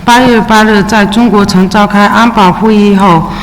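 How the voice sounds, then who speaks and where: A Chinese immigrant woman reads her testimony at a steady, measured pace, pausing now and then. Her voice carries the long reverb of a big public chamber, with a faint ambient hum underneath. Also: mid-range voice. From China. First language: Mandarin. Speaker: witness testifying at a city council hearing. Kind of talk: read testimony in Mandarin. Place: large public hearing chamber. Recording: echoey hearing room with faint ambience.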